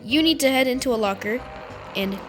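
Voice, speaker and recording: A woman with a fairly high voice talks casually through gameplay like a video creator. Her phrasing is informal and full of gamer slang. Music plays faintly behind her. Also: expressive, a little fast, a bit bright. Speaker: video creator and game level maker. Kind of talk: casual gameplay commentary and walkthrough. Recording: music under the voice.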